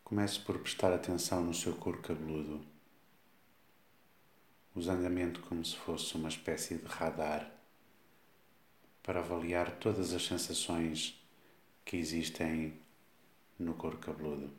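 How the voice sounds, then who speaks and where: A man with a European Portuguese accent guides a body scan meditation, giving slow, calm instructions with long pauses. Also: sits low. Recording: echoey, reverberant room sound.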